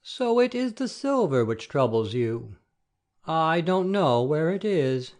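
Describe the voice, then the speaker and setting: A woman playing a gentle, grave elderly male bishop with a deliberate, mild delivery in a classic audiobook drama. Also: deep voice, big pitch swings, exaggerated voice. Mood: calm. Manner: deliberate, mild, pausing between phrases.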